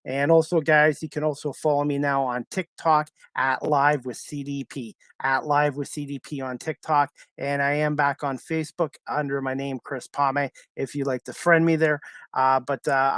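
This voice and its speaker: A man from Canada hosting a podcast, talking casually and haltingly to his livestream viewers in a rambling way. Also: a little high, fairly bright tone, no echo. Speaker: podcast host.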